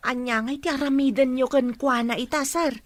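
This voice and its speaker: A woman acting as a deferential, anxious household helper in an Ilocano radio drama. Her voice is high, with a Filipino accent and a dry, close sound. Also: steady tone, a little fast, no room sound, lightly acted. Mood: respectful. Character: respectful, anxious female household helper, an aunt.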